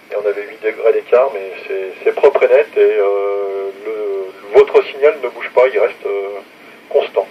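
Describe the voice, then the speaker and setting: A man speaking as an amateur radio operator, giving signal reports in a courteous, methodical way over a two-way radio. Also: fairly low pitch. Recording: noisy, muffled two-way radio transmission.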